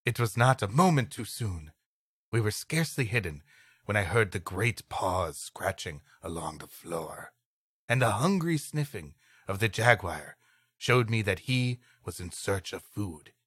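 Lively, bright-voiced man reading aloud. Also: on the low side, a little slow, husky, no room sound, clean studio sound. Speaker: comedy podcast co-host. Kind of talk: dramatic reading of an old story with comic banter.

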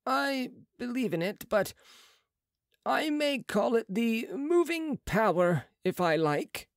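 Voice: mid-range voice, very animated, slow, no room sound, clean studio sound, voice pushed up. A reader putting on a proud, boastful young male surgeon. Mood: lofty. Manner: boastful, lofty, sharp retorts. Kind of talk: audiobook character reading.

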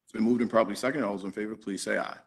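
Man, forties, council chair on a video call, quick formulaic delivery, lowish voice. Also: steady tone, breathy edge. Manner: brisk, formulaic calls for votes. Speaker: council president chairing a meeting. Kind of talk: formal meeting procedure. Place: office with flags behind, on a video call.

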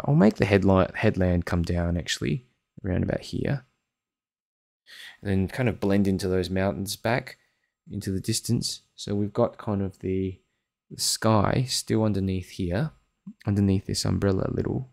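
A young man, talking through a watercolor painting step by step in a relaxed, chatty way while he works, his voice a little croaky, recorded indoors at his desk on a webcam mic. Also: lowish voice. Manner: relaxed, chatty, thinks aloud while working. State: slightly croaky voice. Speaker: watercolor teacher. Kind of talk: live painting demonstration. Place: home studio, indoors.

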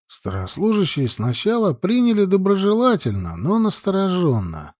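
A man's voice: medium pitch, warm tone, no echo, studio quality. A man reading a novel aloud as scripted audiobook narration.

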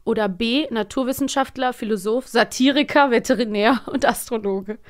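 A woman's voice: fairly high pitch, moderate pace. A woman co-hosting a podcast in casual chat, talkative and laughing easily as she leads the conversation. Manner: chatty, laughing, guides the talk. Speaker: podcast co-host.

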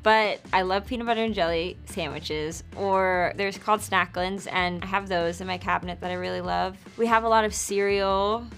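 A young woman in her twenties talks easily in a studio interview, her voice sitting at an ordinary middle pitch. She chats loosely, drops in plenty of "like", and laughs along the way. Soft music runs faintly beneath her. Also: fairly even intonation, normal speed, hardly any pauses. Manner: chatty, laughs often, filler "like". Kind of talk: casual chat to camera. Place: indoor studio before a dark backdrop.

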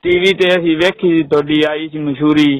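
A man's voice: fairly high pitch, fairly even intonation, moderate pace, no room sound. A man in his fifties with a Punjabi accent, making a comic phone call in a pleading, insistent, rustic and repetitive way. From Punjab, India. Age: 50s. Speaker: prank caller.

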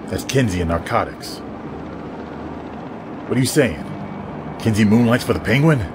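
A man's voice: fairly low pitch, animated, moderate pace, background noise, natural voice. A man acting an earnest, dogged police detective in an audio drama, hard-boiled and pressing. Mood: wary.

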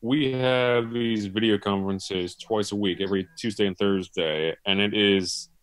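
A man's voice: fairly low pitch, steady tone, measured pace, fairly bright tone, clear, resonant tone. A man in his twenties, a student performer, answering casually in a video-call interview. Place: living room, indoors. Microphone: computer mic on video call.

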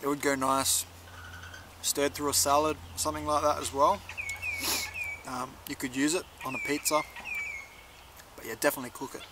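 A man's voice: a little high, fairly even intonation, normal speed, background noise. A young man giving a casual, laid-back review to camera in a conversational way. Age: young adult. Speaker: chilli reviewer, video host. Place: outdoors in a leafy garden.

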